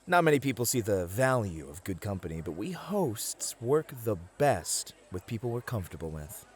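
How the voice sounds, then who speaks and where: A man playing a polite, flirty club host in an ASMR roleplay, courteous and gently teasing, with hesitant pauses. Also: on the low side, very animated, husky. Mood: easygoing, teasing.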